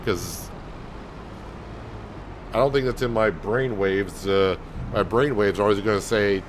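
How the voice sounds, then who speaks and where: A man calmly talking viewers through a farming game on a livestream, in a soothing, unhurried way. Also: fairly low pitch, noisy background. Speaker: game streamer. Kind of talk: casual gameplay commentary.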